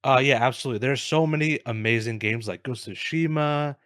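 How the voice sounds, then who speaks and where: A man's voice: medium pitch, fast, a bit bright, no echo. Dry-recorded man chatting casually about games. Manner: informal, says bro, swears. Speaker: co-host of gaming news show. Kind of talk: casual opinionated chat.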